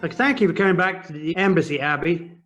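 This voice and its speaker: Man acting a high-pitched, concerned friend. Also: fast talker, a bit bright, faint music behind, close to an everyday voice. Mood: apologetic. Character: kind, concerned, awkward male friend. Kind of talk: audio play acting. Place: recorded at home during lockdown. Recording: muffled, noisy home recording.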